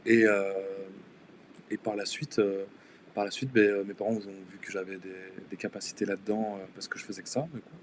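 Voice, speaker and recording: A man's voice: lowish voice, little pitch movement, brisk, a little hiss or hum. A young man from Denmark, not a native French speaker, chatting as a guest on a live stream and talking hesitantly, with many fillers and restarts. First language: Danish. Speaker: guest illustrator and tattoo artist. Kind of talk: casual live-stream chat.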